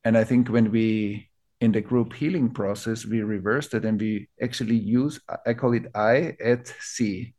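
A man from Austria with an Austrian accent, a native German speaker, explaining ideas reflectively as an interview guest, building his thoughts in short, halting phrases. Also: on the low side, fairly even intonation, darkish tone. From Vienna, Austria. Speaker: interview guest, teacher of collective trauma healing.

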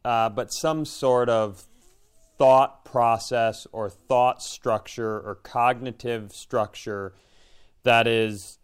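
A man co-hosting a casual chat, thinking aloud in a halting, reflective way and often breaking off to rephrase. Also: medium pitch, fairly even intonation, smooth. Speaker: podcast co-host.

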